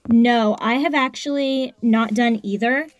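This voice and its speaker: A woman's voice: on the high side, steady tone, measured pace, no echo. A woman co-hosting a casual podcast, asking curious questions, recorded in a closet on a phone with cheap headphones. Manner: curious, asks questions, laughs easily. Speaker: podcast co-host. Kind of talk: casual chat. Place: small closet at home.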